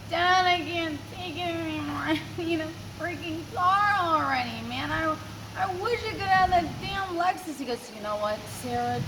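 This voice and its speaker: A woman casually telling a story in an animated way, acting out dialogue, swearing and laughing as she talks. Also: sits high. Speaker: guest telling a personal story. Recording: noisy car, engine and road noise.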